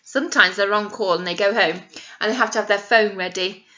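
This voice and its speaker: A woman, a nursery teacher, reading a picture book aloud to young children in an expressive, teacherly way, stopping to explain things simply with questions and emphasised key words. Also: medium pitch, fairly quick, muffled recording.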